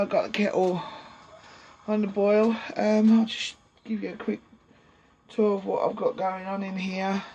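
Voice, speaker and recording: A woman with a gruff voice from a sore throat, chatting casually and rambling with many ums as she shows round her greenhouse on camera. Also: medium pitch, low-quality recording. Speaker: allotment vlogger. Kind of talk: casual walk-through chat. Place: greenhouse on an allotment, outdoors. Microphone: camera on a tripod. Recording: outdoor noise, lawnmowers and traffic behind.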